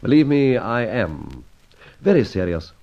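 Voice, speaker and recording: An actor playing a suave, self-amused gentleman adventurer in an old radio serial, speaking in an arch, playfully pompous, theatrical way. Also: mid-range voice, very animated, unhurried. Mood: earnest, guarded. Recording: dull lo-fi old radio recording, faint music.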